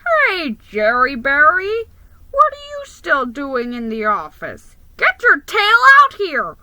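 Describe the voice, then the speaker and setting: A woman voicing a boisterous, impatient male mouse cousin who yells, in a storybook reading. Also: high-pitched, huge pitch swings, slow, no echo, pitched above the performer's own voice, full character voice. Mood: loud, impatient. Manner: yelling.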